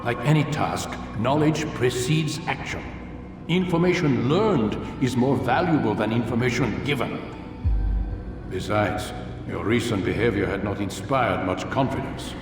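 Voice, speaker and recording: An actor playing a calm, commanding old master of an assassin brotherhood in a video game, speaking in measured, formal, cryptic phrases. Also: male voice, mid-range voice, lightly acted. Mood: grave, instructive. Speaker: mentor and leader. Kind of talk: dramatic video game voice acting. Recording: echoing large hall, distant, music behind.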